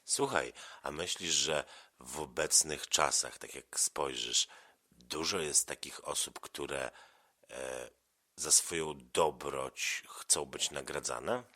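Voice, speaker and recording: A man in the role of interviewer, talking conversationally with a child, measured as he sums up and prompts. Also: rumbling low, sharp tone.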